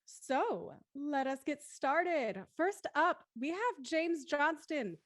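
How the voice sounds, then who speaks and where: A woman's voice: high-pitched, expressive, slow pace. A woman hosting a live stream and introducing guests in a chatty presenting style. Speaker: host.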